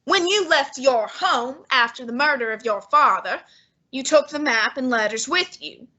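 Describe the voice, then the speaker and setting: A woman playing a portly, imperious male detective genius in a student radio drama, measured and condescending. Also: sits high, lively intonation, bright, forceful tone, dull audio, full character voice. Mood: commanding. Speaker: student actor.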